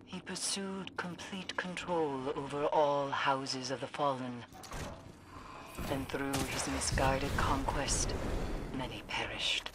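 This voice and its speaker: A grim, haunted female warrior mystic from a video game. She speaks very slowly in solemn, formal phrases that sound ominous. There is ambient noise behind her. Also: very deep voice. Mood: ominous, mournful. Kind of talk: dramatic video game acting.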